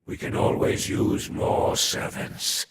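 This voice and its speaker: A man voicing a haughty, ominous serpent-folk overlord in a fantasy game, speaking in solemn, formal, imperious pronouncements with a breathy, whispery tone. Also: slow pace. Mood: lofty, condescending. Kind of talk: scripted fantasy game lore narration. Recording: echoey hall reverb with ambient noise.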